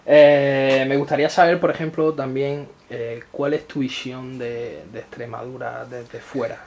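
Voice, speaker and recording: A man's voice: medium pitch, fairly even intonation, a little slow, darkish tone. A man conducting an informal interview, putting his questions politely and simply. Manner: polite, prompting with short questions.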